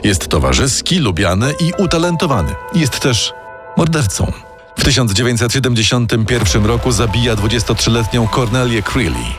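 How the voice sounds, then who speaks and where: A man delivers scripted true-crime narration in a dramatic, suspenseful way. His mid-pitched voice moves expressively, with pointed pauses. Music plays clearly underneath. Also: sing-song.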